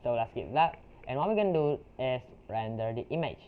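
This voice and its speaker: A young man from Indonesia with an Indonesian accent, a non-native English speaker walking through a software tutorial in a halting way, with restarts and fillers. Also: mid-range voice, lively intonation, smooth. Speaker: tutorial creator. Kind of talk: software screen-recording tutorial. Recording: tinny lo-fi mic with faint noise.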